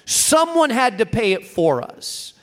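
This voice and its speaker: A man preaching a sermon as a pastor, speaking in short, weighted phrases with dramatic pauses and emphatic repetition. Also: very high voice, big pitch swings, fairly bright tone.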